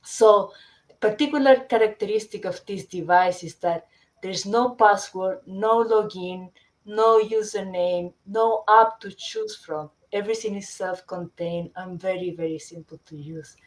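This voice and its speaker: A middle-aged woman from Chile, a company founder presenting her product in a webinar, often pausing with fillers and restarting sentences. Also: mid-range voice, low-quality recording. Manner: hesitant, many fillers and restarts.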